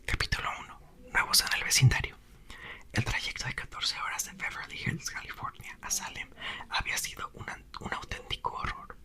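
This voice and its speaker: A man softly whispering a novel aloud in an ASMR reading, close to the mic. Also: moderate pace. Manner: soft whisper, occasional self-corrections. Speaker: ASMR reader.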